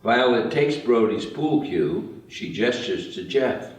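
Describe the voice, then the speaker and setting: A man's voice: medium pitch, lively intonation, slow pace. A man reading out stage directions in scripted narration at a table read. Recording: very echoey room, distant mic.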